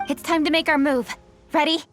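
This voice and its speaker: A woman's voice: sits high, steady tone, brisk, soft background music, natural voice. An actress playing a commanding, self-assured noblewoman official in a video game, giving orders with authority. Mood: confident, stern. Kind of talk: English video game voice acting.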